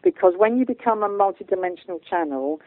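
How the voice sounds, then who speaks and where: Female, British, spiritual channeller as interview guest. Assertive, emphatic delivery, mid pitch, thin telephone-style sound. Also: expressive, quick pace, dry recording. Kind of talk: radio interview talk. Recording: muffled phone-like line.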